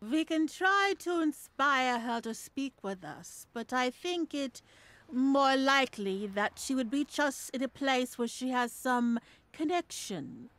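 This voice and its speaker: A woman's voice: high-pitched, fairly bright tone, no room sound, full character voice. A woman playing a mysterious, coaxing spirit medium in an audio ghost story, with a dramatic, portentous delivery. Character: theatrical female spirit medium, mysterious, coaxing and self-assured.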